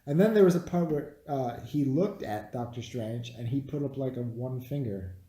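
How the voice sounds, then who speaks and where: A man's voice: medium pitch, normal speed, warm tone. Blunt man in a roomy, echoey space. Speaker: co-host of a movie review podcast. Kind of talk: casual, unscripted movie review chat.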